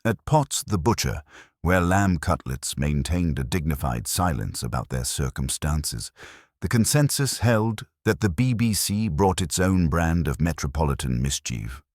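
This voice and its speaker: A man narrating a wry mystery story in a literary, measured, dryly ironic manner. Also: a bass voice, expressive, a little mellow, dry recording, studio quality.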